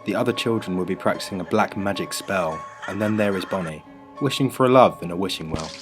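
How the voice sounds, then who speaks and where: A man narrating a rhyming children's story in a measured, scripted storybook delivery. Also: sits low. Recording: music bed under the voice. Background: music.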